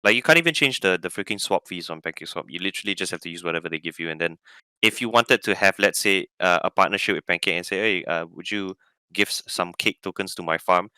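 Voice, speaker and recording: A man who is a guest from a crypto team, talking fast and emphatically in a casual online chat. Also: low-pitched, sharp tone, smooth, dry recording.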